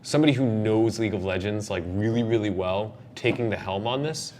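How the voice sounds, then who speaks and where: A man's voice: fairly low pitch, fairly quick. A young man hosting a casual panel discussion, speaking emphatically and with strong opinions, recorded in a noisy room. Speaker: host of a video game discussion show. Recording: noisy room recording, steady background noise.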